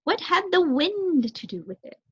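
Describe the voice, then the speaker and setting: A young woman narrating a children's novel aloud in an expressive storytelling style, on a slightly dull, dry recording. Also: very high voice, normal speed, slight background noise. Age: young adult. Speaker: student storybook reader.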